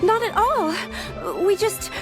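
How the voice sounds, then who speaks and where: Overworked young female secretary, game character, extremely high voice, eager, deferential, music behind. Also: takes it slowly. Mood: pleading, relieved. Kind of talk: video game voice acting.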